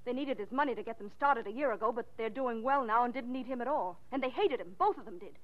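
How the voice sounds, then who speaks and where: An actress playing a defensive, cagey widow in a 1940s radio drama, with a pointed, dramatic delivery. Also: female voice, on the high side, expressive, fast talker, lightly acted. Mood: bitter, accusing. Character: defensive, cagey, bitter widow of a murdered man. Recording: dull, lo-fi 1940s radio recording.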